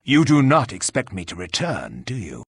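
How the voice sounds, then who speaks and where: A rude warrior hero for a fantasy game. His husky voice is formal and deadpan, yet moves widely in pitch. The sound is dry and studio-close, with a dismissive, sardonic edge. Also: moderate pace, no room sound, full character voice. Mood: grim defiance. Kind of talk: video game voice acting.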